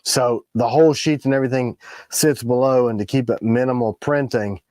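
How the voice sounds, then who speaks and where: A man with a raspy voice, tired in the morning, co-hosting a casual product livestream and explaining the details of the items he shows. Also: mid-range voice, fairly even intonation, normal speed, darkish tone, no room sound, clean studio sound. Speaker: co-host of a knife company livestream. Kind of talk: casual live chat about products.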